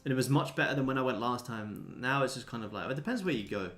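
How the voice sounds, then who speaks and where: A man's voice: medium pitch, expressive, fast, a bit bright, faint music behind. A man in his twenties chatting casually as a livestream host answering viewers, laid-back, blokey and sweary. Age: 20s. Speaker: livestream host, day trader.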